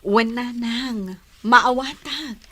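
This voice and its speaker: A woman with an Ilocano accent acting a patient, forgiving young wife and mother in a radio drama, gentle and measured. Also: on the high side, full character voice. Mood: tender, dutiful.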